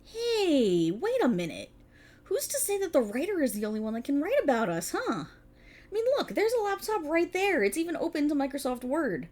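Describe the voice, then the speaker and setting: A woman's voice: sits high, very animated, moderate pace, darkish tone. A woman playing a prickly, exasperated police officer in a scripted ASMR roleplay, talking in a wry, bossy, explanatory way to an unheard partner. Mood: urgent, persuading. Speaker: voice actor in audio roleplay. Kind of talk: scripted ASMR roleplay, one-sided dialogue.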